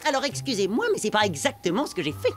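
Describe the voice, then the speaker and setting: A woman playing an excitable, good-natured pre-teen amoeba boy in a cartoon, with an expressive French delivery. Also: mid-range voice, fast, very noisy, lightly acted. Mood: annoyed. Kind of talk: animated cartoon dialogue. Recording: loud music behind the voice.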